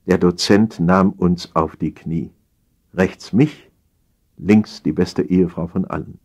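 Deep, husky male satire narrator. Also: steady tone, slow, darkish tone. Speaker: audiobook narrator.

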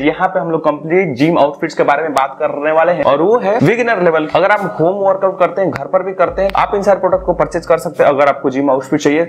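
A young man chatting about gym gear in a casual review vlog, speaking persuasively into a lapel mic in a small room. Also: on the high side. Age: young adult. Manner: chatty and persuasive, mixing English words into his talk. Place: small indoor room, seated on a sofa.

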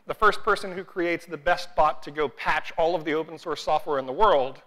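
A man in his forties speaks on a large conference stage with a bright, forceful voice, pitched a little high for a man. He jokes at his own expense and peppers his talk with "uh.". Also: normal speed, poor audio. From United States. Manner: self-deprecating, joking asides, frequent "uh" fillers.

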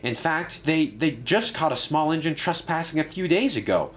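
Man voicing a high nervous diesel engine. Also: animated, moderate pace, a little hiss or hum, lightly acted. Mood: pleading. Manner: anxious, stammering, pleading. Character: nervous pleading male runaway diesel engine.

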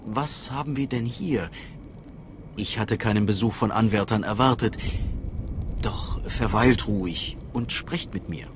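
An actor voicing a calm, sceptical scholarly steward of a cult in a video game, earnest and persuasive. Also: male voice, lowish voice, measured pace, background noise. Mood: welcoming. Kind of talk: dramatic video game dialogue. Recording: faint music behind voice.

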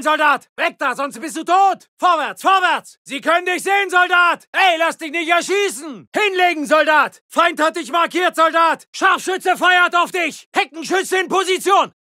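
An actor playing a gruff, foul-mouthed German wartime soldier in a video game, calling out short, urgent battlefield barks. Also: male voice, very high-pitched, no echo, studio quality, heavily acted. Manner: urgent, forceful, barked short battlefield shouts.

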